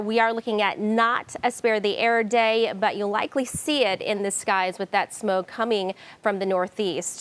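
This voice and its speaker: A woman's voice: medium pitch, fairly bright tone. A woman presenting a broadcast weather forecast in an ad-libbed presenter's style.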